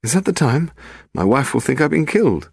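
A chatty male publisher, acted out by a male narrator in an audiobook. He sounds a little flustered and hesitant, his voice raised and very animated. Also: mid-range voice, fairly quick, gravelly, breathy edge, no echo, clean studio sound, voice pushed up, full character voice. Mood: alarmed.

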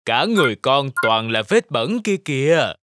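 A performer voicing an encouraging grown-up shark parent in a children's cartoon, coaxing and gently teasing. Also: medium pitch, very animated, moderate pace, dry recording, clean studio sound.

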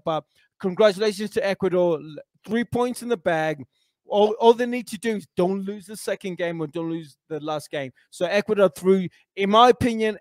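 A man's voice: high voice, no room sound. A man in his thirties from England, a football pundit giving blunt, emphatic opinions in a casual studio chat. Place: small indoor studio with a backdrop.

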